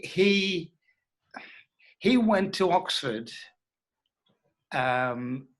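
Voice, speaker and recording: A middle-aged man being interviewed as a writer, speaking reflectively and haltingly. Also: on the high side, a bit bright. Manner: thoughtful, halting delivery. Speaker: interviewed writer who adapted his father's stories.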